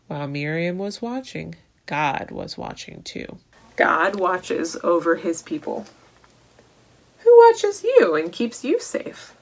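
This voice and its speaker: A woman reading a Bible story aloud to children in a deliberate way, posing questions to young listeners as she goes. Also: fairly low pitch, darkish tone. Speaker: children's storytime reader at a church.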